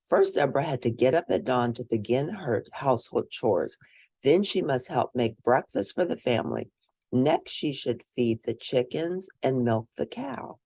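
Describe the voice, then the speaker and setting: A woman reads a picture book aloud in an expressive, teacherly way, speaking to young listeners. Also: extremely low pitch, measured pace, slightly rough, no room sound. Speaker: children's read-aloud narrator and educator.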